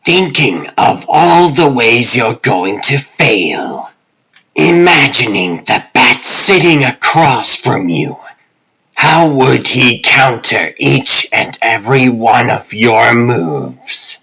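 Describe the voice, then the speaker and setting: A man playing a cold, taunting supervillain in a comic dub, speaking with deliberate menace. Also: medium pitch, big pitch swings, gravelly, sharp tone, breathy edge, exaggerated voice, poor audio. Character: sinister, taunting male supervillain, cold and patient.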